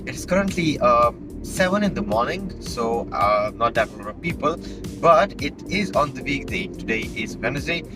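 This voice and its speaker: A hoarse man with a raspy voice, chatting casually as a travel vlogger while driving and walking on a beach, rambling with fillers and correcting himself. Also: medium pitch, animated, moderate pace, music in the background, low-quality recording. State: hoarse after a night out. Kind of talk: casual vlog tour narration. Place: driving a car, then outdoors on a beach.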